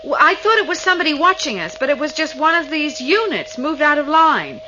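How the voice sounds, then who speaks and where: A woman's voice: high voice, big pitch swings, a little fast, bright, forceful tone, full character voice. A woman playing an inquisitive, persistent starship crew member in a 1980 sci-fi radio drama, questioning and insistent. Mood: urgent. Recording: muffled, noisy old radio recording.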